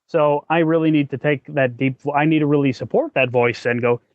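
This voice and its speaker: A man's voice: fairly high pitch, brisk, no echo, phone-quality sound. A man co-hosting a podcast in a casual discussion, thinking aloud as he goes and swearing now and then. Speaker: podcast co-host.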